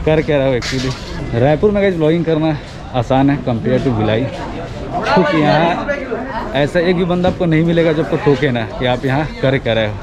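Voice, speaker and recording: A man's voice: fairly high pitch, expressive, moderate pace, a loud crowd. A young man, chatting casually to viewers as he vlogs outdoors through city streets and a market. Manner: chatty, addresses viewers as guys while walking. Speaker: travel vlogger. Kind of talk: casual travel vlog narration. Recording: loud street and traffic noise. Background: traffic and street noise.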